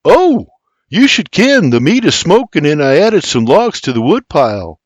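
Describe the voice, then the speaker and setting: A man's voice: on the high side, big pitch swings, moderate pace, dry recording, pitched above the performer's own voice. A man voicing a teasing, sarcastic younger Irishman with an Irish accent. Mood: wry. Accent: Irish, as played. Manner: wry, sarcastic retorts.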